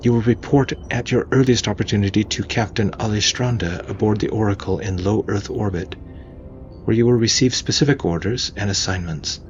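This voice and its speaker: A man's voice: lowish voice, a little fast, highs cut off, soft background music, pitched above the performer's own voice, lightly acted. A man voicing a young female junior navy ensign in audio fiction, earnest and deferential. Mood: excited, formal. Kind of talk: audio fiction character dialogue.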